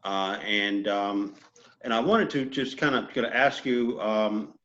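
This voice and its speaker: A man hosting an interview who asks long, halting questions full of restarts. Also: fairly low pitch, a bit bright, dull audio. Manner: halting, with many restarts and fillers. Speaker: interview host.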